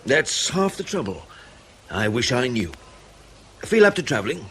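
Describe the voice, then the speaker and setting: A man playing a composed, evasive intelligence officer in a radio drama, speaking in a dry, understated, unhurried way. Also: mid-range voice, big pitch swings, a bit bright, slight background noise. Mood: casual, coaxing. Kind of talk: naturalistic radio drama dialogue.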